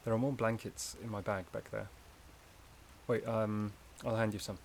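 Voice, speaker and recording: A man playing a gentle, shy, caring forest companion in a soft-spoken ASMR roleplay, with a hesitant, slightly stammering delivery. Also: fairly low pitch, flat delivery, moderate pace, creaky, a little hiss or hum. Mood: soothing, cozy.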